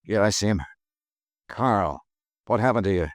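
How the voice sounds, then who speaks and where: An actor playing a brisk, wisecracking male insurance investigator in a 1950s radio drama, narrating his own case in a clipped, dry way. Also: fairly low pitch, dry recording, close to an everyday voice. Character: hard-boiled male insurance detective, brisk and wry.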